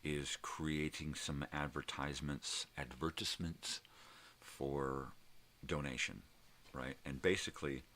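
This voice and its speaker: Very deep, gravelly older man rambling. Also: steady tone, sharp tone, slight background noise. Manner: rambling, drawn-out, mild swearing. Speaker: vlog host. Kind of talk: casual rambling vlog chat.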